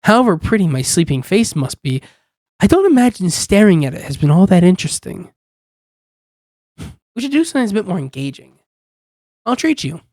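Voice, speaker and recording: Smug demon contract-dealer, acted by an amateur performer, mid-pitched, dry intimate ASMR delivery, teasing and in control. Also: very animated, darkish tone, no echo. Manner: teasing, coaxing, unhurried with lingering pauses. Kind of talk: ASMR roleplay, intimate scripted monologue.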